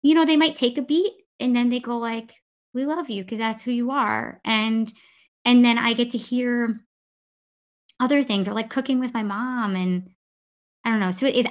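A woman hosting a podcast, chatting casually, quick and animated, laughing often. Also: a little high. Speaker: podcast host. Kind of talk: casual conversational chat.

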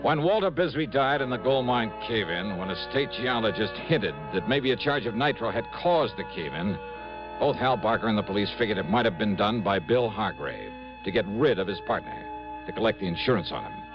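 A man's voice: fairly low pitch, expressive, a little fast, natural voice. An actor playing a composed male investigator, narrating his case in an old radio drama. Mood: grave, suspenseful. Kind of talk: scripted first-person narration, 1950s-60s radio drama. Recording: muffled old radio, music behind.

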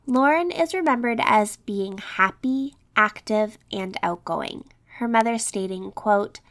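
A woman narrates a true crime story from a prepared script, her voice slightly scratchy as she recovers from an illness. She sits at a medium pitch and moves evenly through dates, figures and quoted remembrances. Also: measured pace, fairly bright tone. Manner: reads script with quotes and statistics. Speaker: true crime podcast host.

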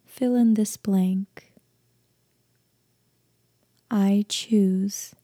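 A woman leading a guided meditation in a soft, breathy, half-whispered voice, gentle and deliberate, leaving long pauses. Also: mid-range voice, fairly even intonation, dry recording, clean studio sound. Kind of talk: guided meditation, slow soothing instruction.

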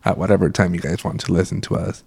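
A young man with a fairly low voice, hoarse and raspy from just waking up. He rambles through casual stories with a joking, laughing manner, chatting as a podcast co-host. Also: fairly even intonation, fast talker, a little mellow. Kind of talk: casual chat.

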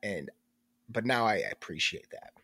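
Young male co-host, nasal with a cold, lively rambling chat, dry close sound. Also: fairly low pitch, unhurried, slightly rough, no echo. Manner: rambling, self-interrupting, animated. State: congested, stuffed up. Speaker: podcast co-host. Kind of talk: casual chat.

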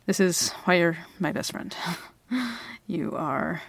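A woman's voice: fairly low pitch, lively intonation, slow pace, creaky, dry recording, exaggerated voice. A young woman playing a flustered girl smitten with her best friend in an intimate ASMR roleplay, hesitant, stammering and giggly. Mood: grateful, giddy. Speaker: audio roleplay performer. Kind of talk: ASMR girlfriend roleplay, intimate one-sided dialogue.

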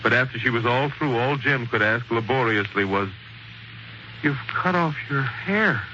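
A man narrating a 1940s radio drama in a theatrical, measured storytelling manner, on a muffled vintage broadcast recording. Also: medium pitch, sharp tone, a little hiss or hum. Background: prominent music.

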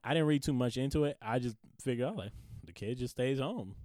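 A young man talking loosely on a podcast, easygoing and slangy with frequent laughs. His voice sits at an ordinary pitch. The sound is dry and close, with no room around it. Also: lively intonation, a little fast, darkish tone, no echo. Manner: laid-back, laughs often, slangy. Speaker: podcast co-host. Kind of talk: casual chat.

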